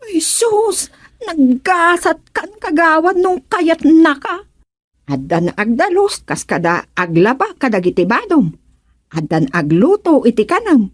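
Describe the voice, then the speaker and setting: Cheeky Ilocano matchmaker, played by a woman: high-pitched, unhurried, teasing exclamations, radio comedy. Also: sing-song, dry recording, studio quality. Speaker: actor in radio comedy drama. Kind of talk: Filipino radio drama comedy acting.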